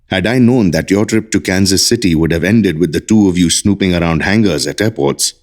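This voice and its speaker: Deep-voiced, protective male doctor, played by an actor. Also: a little fast, a little mellow, lightly acted. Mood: worried, disapproving. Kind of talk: audio drama acting.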